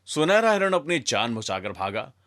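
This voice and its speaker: A man tells a scripted children's story with dramatic flair. His voice is bright and forceful with wide swings in pitch, recorded dry and close. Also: sing-song, normal speed, no echo.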